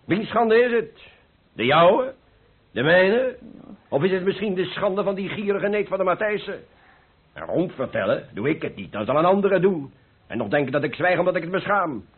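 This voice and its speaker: A boisterous Golden Age painter haggles and boasts in a radio play. His husky voice is fairly high and swings widely, full of exclamations and proud bluster. The old broadcast recording sounds muffled. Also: big pitch swings, normal speed, full character voice. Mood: indignant, proud. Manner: jovial haggling, theatrical exclamations and chuckles. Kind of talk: Dutch radio play acting.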